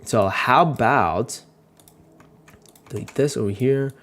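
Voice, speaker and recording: A young man chatting casually on a livestream while he edits, rambling, joking at his own expense and swearing now and then. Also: slow pace. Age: young adult. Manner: rambling, self-mocking, swears casually, laughs often; joking, gets louder and higher when telling stories. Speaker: video creator live-streaming his editing.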